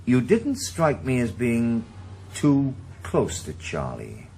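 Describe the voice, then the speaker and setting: A man's voice: mid-range voice, wildly swooping pitch, slow pace, mellow, slight background noise, heavily acted. An actor playing a weary retired policeman turned private eye in a radio drama, dry and laconic. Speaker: private investigator. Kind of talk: 1980s radio drama acting.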